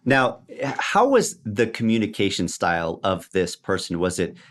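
A man's voice: fairly low pitch, big pitch swings, a little slow. A man hosting an interview in a conversational style, asking questions and commenting. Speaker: interviewer, host.

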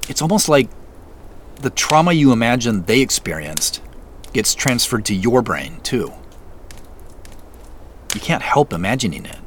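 A man's voice: mid-range voice, sing-song, a little slow, pauses often. An actor playing a wry, wistful, easygoing man who guards his feelings, in naturalistic audio drama dialogue. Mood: guarded, sorrowful. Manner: easygoing, self-joking, guarded about feelings. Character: wistful, wry man on a road quest, grieving parents. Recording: noticeable outdoor ambient noise.

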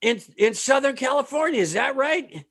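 A man hosting a podcast, reading a comic sports news update in punchy, deadpan bursts with sudden shouts. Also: very high-pitched, normal speed. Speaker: podcast host.

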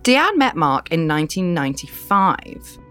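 Woman co-host, low-ish voice, dry sarcastic delivery, expressive pitch. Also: measured pace, clean tone, soft background music. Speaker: podcast co-host. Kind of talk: casual chat.

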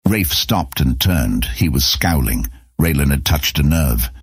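A man's voice: a bass voice, lively intonation, a little slow, slightly rough, no echo, studio quality. A man narrating a scripted fantasy story as an audiobook narrator.

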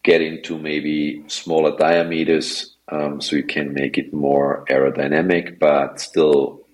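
A man's voice: a bass voice, level tone, measured pace, poor audio. A man in his forties with an Austrian accent, a guest on a podcast interview, talking casually with many fillers and restarts. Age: 40s. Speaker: guest, wingfoil tour director.

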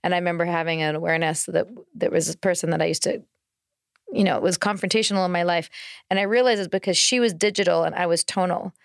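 Lower-pitched woman, close dry sound. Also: steady tone, fast talker, creaky, dry recording. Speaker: podcast co-host. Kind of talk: casual podcast conversation.

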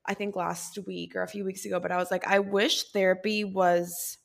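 A woman's voice: mid-range voice, little pitch movement, moderate pace, gravelly. A woman hosting a podcast, talking in a chatty, rambling way with many fillers and asides. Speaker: podcast host. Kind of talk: casual podcast conversation.

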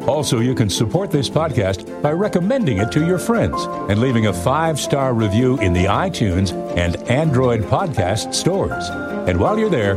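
A man reading a scripted podcast outro as an announcer. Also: medium pitch. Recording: loud music under the voice. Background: loud music.

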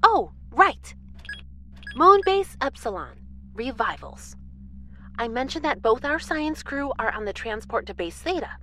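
Tidy, keen female outpost official, high-pitched, slight background noise, radio play. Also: very animated, measured pace, bright, forceful tone, full character voice. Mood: businesslike. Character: eager, orderly woman moon base administrator. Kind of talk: audio drama acting.

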